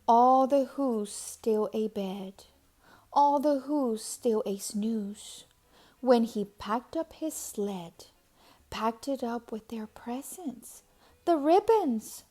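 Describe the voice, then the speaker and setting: A woman reads a rhyming storybook to children with dramatic expression. Her voice is fairly high and mellow, and she takes her time with very slow, deliberate phrasing, sometimes hushing toward a whisper. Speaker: storybook reader. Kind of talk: expressive children's read-aloud narration.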